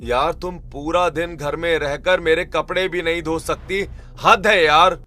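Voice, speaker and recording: A man's voice: on the high side, fairly even intonation, unhurried, sharp tone. A man voicing a tired, neglected young office-working husband in a family story, complaining and pleading. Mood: frustrated.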